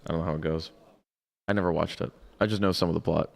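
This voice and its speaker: A man co-hosting a casual talk show, making dry, short deadpan remarks. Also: sits low, fast talker, creaky, big-room sound. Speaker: co-host. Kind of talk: casual chat.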